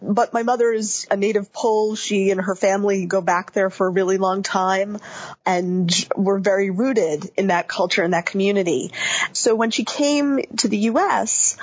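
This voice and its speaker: Female interview guest, husky, reflective, picks words with care, lo-fi sound. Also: mid-range voice, normal speed. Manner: thoughtful, searching for words. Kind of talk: reflective conversational storytelling. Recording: muffled lo-fi recording.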